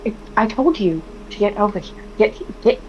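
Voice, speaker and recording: A woman's voice: mid-range voice, expressive, normal speed, a little mellow, slight background noise, close to an everyday voice. A woman playing a bossy young mistress. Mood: impatient. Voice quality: curt, impatient orders. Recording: dull lo-fi mic.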